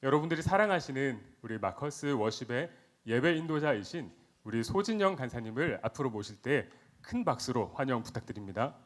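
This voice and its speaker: Male host, medium pitch. Formal, polite stage introduction at a worship service. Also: expressive, brisk, slight background noise.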